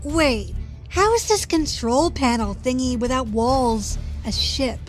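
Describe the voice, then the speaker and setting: Woman as a sassy companion, higher-pitched, over music. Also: animated, slow pace. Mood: baffled, sassy. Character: sassy, easily flustered young female companion, casual narrator. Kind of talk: first-person audio drama narration.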